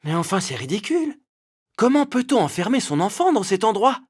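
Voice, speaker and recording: A woman's voice: mid-range voice, big pitch swings, fairly quick, darkish tone, breathy edge. A woman playing a gallant, earnest young prince in a children's cartoon fairy tale. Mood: indignant, persuasive. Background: faint music.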